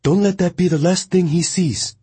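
A man doing a fierce, husky older woman. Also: high voice, normal speed, warm tone, voice pushed up. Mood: commanding. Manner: commanding then soothing. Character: fierce older woman, commanding yet mothering.

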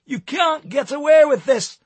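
A man's voice: on the high side, steady tone, normal speed, slightly rough, no echo, voice pushed up. A man playing a wronged, desperate male former pop star in an audiobook, agitated and defiant. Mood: furious.

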